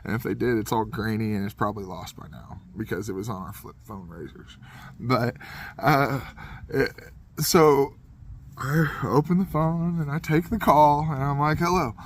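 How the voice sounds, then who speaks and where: A man's voice: medium pitch, moderate pace, slight background noise. A man casually telling a comedic anecdote in a rambling, self-deprecating way, as a podcast host would.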